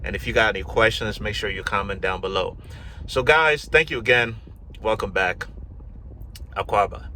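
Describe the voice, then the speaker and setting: A deep-voiced man from Ghana, middle-aged, telling his own story to a camera. His tone is bright and forceful, with easy fillers and restarts as he rambles along. There is a faint hiss of noise behind him. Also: moderate pace, long pauses. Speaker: YouTube vlogger. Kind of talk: casual personal storytelling to camera.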